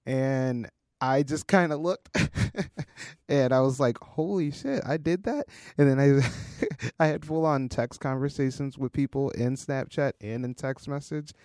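A hoarse man co-hosting a casual tech podcast. He laughs often and tells loose, rambling stories. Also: mid-range voice, measured pace, a little mellow, dry recording, studio quality. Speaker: podcast co-host, independent designer and developer. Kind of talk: casual chat.

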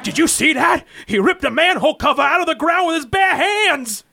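A man's voice: extremely high pitch, animated, brisk, creaky, bright, forceful tone, no echo, clean studio sound, soft background music, full character voice. A man playing a panicky, shrieking bystander in an amateur superhero radio play. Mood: terrified. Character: terrified, hysterical male bystander.

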